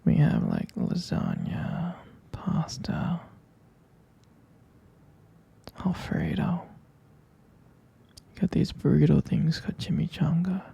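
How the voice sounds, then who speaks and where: A man playing a tender, doting boyfriend in a whispered ASMR roleplay, husky and breathy, speaking gently and hesitantly close to the mic. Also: a little high, heavily acted. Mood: gently caring. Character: tender doting young boyfriend soothing his sweetheart to sleep.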